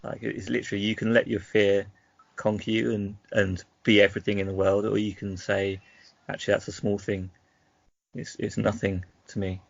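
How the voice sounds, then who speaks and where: A man's voice: on the low side, flat delivery, moderate pace, gravelly, no echo, dull audio. A man co-hosting a podcast, explaining his thoughts reflectively in casual discussion. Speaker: podcast co-host.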